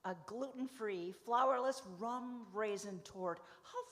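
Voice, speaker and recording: A woman's voice: on the high side, slow. A woman playing a chirpy, easily muddled festival reporter in a live radio comedy. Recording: reverberant hall stage recording.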